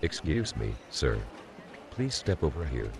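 A man's voice: very deep voice, big pitch swings, a little slow, vocal fry, heavily acted. A man playing a stern, politely official airport security guard in an audio drama, clipped in manner. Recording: airport crowd behind.

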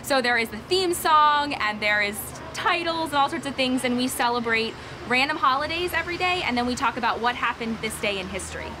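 A woman's voice: high voice, lively intonation, fairly quick, few pauses, noisy background. A woman in her thirties, a fifth grade teacher, talking in an upbeat, chatty way in an interview outdoors by a parking lot. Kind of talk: interview for local TV feature.

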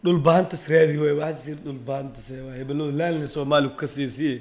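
A man's voice: fairly high pitch, rapid-fire. A young man giving reaction commentary on a clip, laughing often and mimicking the people he watches. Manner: animated, laughing, mimicking others. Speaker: comedy YouTuber. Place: small indoor home studio.

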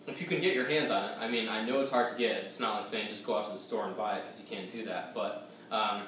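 A man's voice: lowish voice, quick pace, a bit bright, a little hiss or hum. Young man rambling through a whiskey tasting. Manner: rambling, hesitant, many fillers. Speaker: whiskey reviewer. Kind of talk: casual tasting review to camera. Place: small room at home, seated at a table. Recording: echoey room, voice a bit distant.

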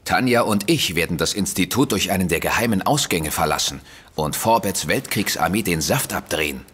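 An actor playing a bold young hero in an audio drama, brash and determined. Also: male voice, low-pitched, animated, fairly quick, a bit bright, slightly rough, exaggerated voice. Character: young male hero, Jan Junior, bold and cocky.

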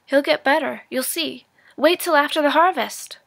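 A woman's voice: high voice, moderate pace, creaky, fairly bright tone, pitched above the performer's own voice. A woman voicing a stubborn, devoted ten-year-old boy in a storybook reading, raising her voice to sound eager and boyish. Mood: insistent.

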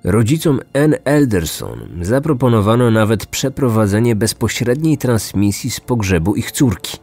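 A man's voice: mid-range voice, animated, moderate pace, darkish tone, no room sound. A man hosting a true-crime podcast, reading a scripted narration. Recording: music bed behind the voice.

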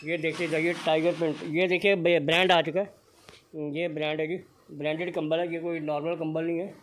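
A man's voice: fairly high pitch, level tone, brisk, fairly bright tone, slight background noise. A man filming a shop walkthrough on his phone, praising the goods in upbeat, repetitive sales patter. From Punjab, India. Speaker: shop video vlogger. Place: indoor blanket shop.

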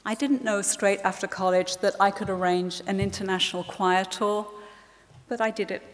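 A woman's voice: mid-range voice, steady tone. A woman in her sixties giving a formal, earnest speech to graduating students from a lectern. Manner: measured, earnest, addresses the students directly. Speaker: guest speaker at a leavers' ceremony. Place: large hall. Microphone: lectern microphone. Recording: reverberant hall.

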